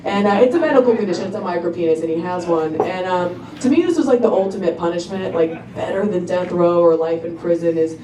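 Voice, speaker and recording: A young woman performing a stand-up comedy set with a laid-back, halting delivery full of ums. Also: lowish voice. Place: comedy club stage. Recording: roomy club recording from a distance, crowd noise. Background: audience laughter.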